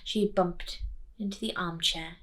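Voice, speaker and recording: A woman teacher reading a children's story aloud expressively, deliberately and with dramatic pauses. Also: lowish voice, fairly even intonation, fairly bright tone.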